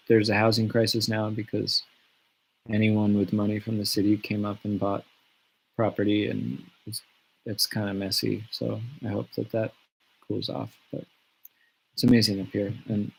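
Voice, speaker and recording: A man chatting casually from his home on a livestream, answering fan questions in a relaxed, rambling way with many fillers and restarts. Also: on the low side, gravelly, rough recording. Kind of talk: casual live Q&A. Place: home studio in the countryside. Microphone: webcam or phone on a livestream.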